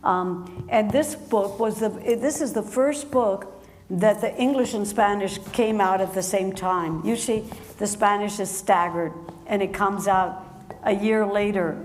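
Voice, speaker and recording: A woman author talking with an audience at a book event. She laughs often and speaks in halting restarts. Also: mid-range voice, faint music behind. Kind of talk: conversation at a public book event. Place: large hall with an audience. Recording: echoey hall with faint noise.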